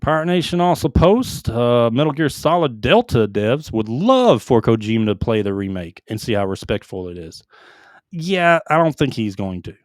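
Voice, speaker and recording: A man reading gaming headlines on a casual podcast, breaking off for asides and tripping over foreign names. His voice sits at a middle pitch and is recorded close and dry, with no room sound. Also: sing-song, moderate pace, no echo. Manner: reads headlines aloud with asides and stumbles on names. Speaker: podcast co-host reading news.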